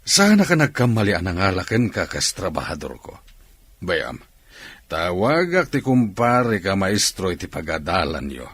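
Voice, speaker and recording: A man with an Ilocano accent playing a bossy but helpful factory boss in a radio drama, with a husky voice. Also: sits low, wildly swooping pitch, moderate pace, fairly bright tone, dry recording, heavily acted. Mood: gruff, advising.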